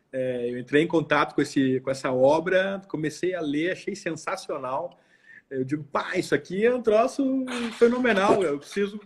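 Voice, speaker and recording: A man's voice: on the high side, husky. A man in his forties with a southern Brazilian accent from Porto Alegre, speaking casually and reflectively in an interview, often repeating words as he goes. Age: 40s. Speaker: law professor interviewed as guest. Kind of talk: casual interview, recounting own life.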